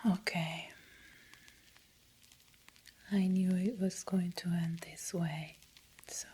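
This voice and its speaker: A woman with an Italian accent playing an uneasy doctor confessing in a breathy, halting ASMR roleplay whisper. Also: lowish voice, little pitch movement, dark tone, heavily acted. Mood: resigned. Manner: slow, halting, hesitant. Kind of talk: soft-spoken ASMR roleplay.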